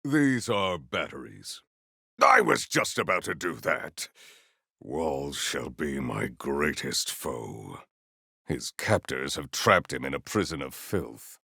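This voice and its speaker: An actor playing a noble, earnest warrior hero in a video game, speaking in a pompous, formal and grandiose way. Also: male voice, very deep voice, very animated, slow, gravelly, pushed and bright, dry recording, studio quality, heavily acted. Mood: grand, defiant. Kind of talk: video game character voice acting.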